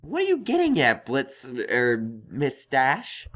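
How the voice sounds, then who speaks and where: A man voicing a polite, flustered young male unicorn in a cartoon-pony story, stammering excitably. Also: medium pitch, takes it slowly, voice pushed up, exaggerated voice. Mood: puzzled.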